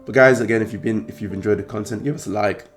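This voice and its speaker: A man with a British accent hosting a trading card channel, talking casually to camera in a croaky, hoarse voice, rambling and clearing his throat often. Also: on the low side, quick pace, faint music behind. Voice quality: gravelly.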